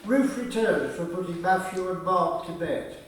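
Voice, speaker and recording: A man narrating a radio play from a script, reading stage directions in a measured, deliberate way. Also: high-pitched. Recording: distant, echoey, noisy room recording.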